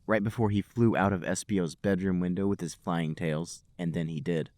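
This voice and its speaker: A man's voice: sits low, fairly even intonation, normal speed, darkish tone, dry recording, studio quality. A man hosting a podcast, giving a comic dramatic reading of amateur fiction with mock-serious storytelling. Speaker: podcast host and reader.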